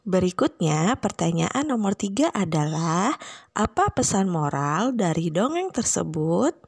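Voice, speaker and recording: A woman's voice: lowish voice, big pitch swings, a little slow, breathy edge, dry recording, studio quality. A woman teacher presenting a scripted children's lesson, posing questions and giving the answers. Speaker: primary school teacher.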